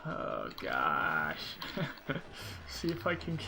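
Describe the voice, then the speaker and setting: A man's voice: very deep voice, wildly swooping pitch, extremely slow, creaky. A man streaming a video game, chatting casually with viewers and thinking aloud in a rambling, unsure way. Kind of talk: casual live-stream chat while playing.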